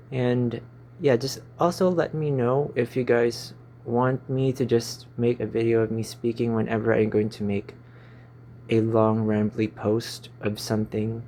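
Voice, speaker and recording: A man's voice: mid-range voice, steady tone, a little slow, warm tone, a little hiss or hum. A man in his twenties with a Filipino accent, chatting casually to his channel and stumbling over his words, recorded on a phone in a small stuffy room. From Philippines. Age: 20s. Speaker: YouTuber. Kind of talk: casual rambling vlog chat.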